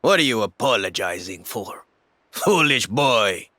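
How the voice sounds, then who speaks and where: A man's voice: on the low side, sing-song, measured pace, sharp tone, exaggerated voice. An actor playing a proud, stern old knight with a Spanish accent, scolding imperiously and dramatically as a hot-tempered father in a video game cutscene. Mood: indignant. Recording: music behind the voice.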